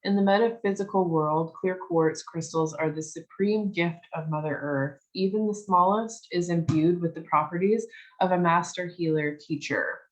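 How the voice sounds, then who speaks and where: Slightly croaky woman leading a spiritual circle. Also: fairly low pitch, fairly even intonation, normal speed, low-quality recording. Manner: gentle, reflective, with frequent "um" and restarts. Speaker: spiritual teacher leading a new moon circle. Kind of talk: casual workshop talk and reading aloud.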